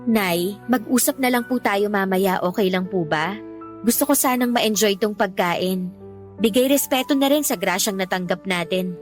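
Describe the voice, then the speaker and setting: An actress playing a dutiful, weary young Filipino daughter who reasons respectfully but firmly in a radio drama. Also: female voice, medium pitch, moderate pace, lightly acted. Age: young adult. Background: background music.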